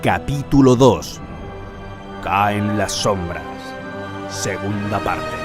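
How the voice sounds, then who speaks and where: Low, slow male drama announcer. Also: lively intonation. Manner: grave, deliberate. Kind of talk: dramatic scripted title announcement. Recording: ominous music behind the voice.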